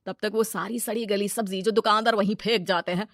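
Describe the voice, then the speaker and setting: A woman's voice: medium pitch, fairly even intonation, fairly quick, a bit bright, no echo, studio quality, close to an everyday voice. A woman voicing a greedy, scheming village moneylender man in a moral story, brash and full of exclamations. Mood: gloating, scheming. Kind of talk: animated moral-story character dialogue.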